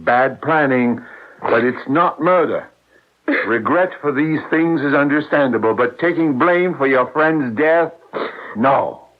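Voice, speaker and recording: An actor playing a patient, firm but sympathetic police lieutenant, measured and considerate, in an old-time radio drama. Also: male voice, medium pitch, lightly acted. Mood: reassuring. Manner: measured questioning with hesitant, considerate pauses. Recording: dull, lo-fi radio drama recording.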